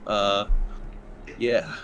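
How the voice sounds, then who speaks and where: A man's voice: lowish voice, level tone, very slow, music in the background. A man chatting casually as a player on a tabletop roleplaying show. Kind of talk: casual chat.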